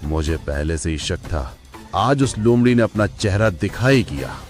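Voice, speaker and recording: A man's voice: low voice, expressive, a little slow, soft background music. A man voicing a boastful, power-hungry wolf villain in a cartoon moral story, with a domineering manner. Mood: boastful, scornful. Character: boastful, power-hungry male wolf who claims to be king.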